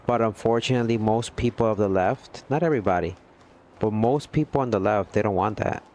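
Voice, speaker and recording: Earnest low-voiced man rambling. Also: a little fast, darkish tone, slight background noise. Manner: earnest and rambling, frequent "you know what I mean". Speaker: gaming livestreamer. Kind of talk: casual chat with viewers on a livestream.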